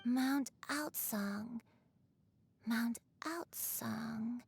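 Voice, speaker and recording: Very slow, bewildered woman. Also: a little high, breathy edge, heavily acted. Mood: wistful, lost. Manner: halting, bewildered. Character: frail, confused granny who lost her memory. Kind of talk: English video game dub.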